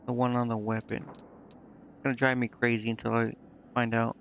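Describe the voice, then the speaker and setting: A man's voice: mid-range voice, monotone, moderate pace, highs cut off, a little hiss or hum. A man streaming a video game, thinking aloud in casual commentary and muttering indecisively to himself.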